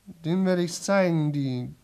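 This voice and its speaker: Slow, exhausted young man. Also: on the high side, warm tone. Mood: furious, ranting. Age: young adult. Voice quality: rough, strained throat. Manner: halting, repetitive, broken fragments. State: exhausted, sore-throated. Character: exhausted, desperate young man attempting nonstop-talking world record. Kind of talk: 1970s German radio play monologue.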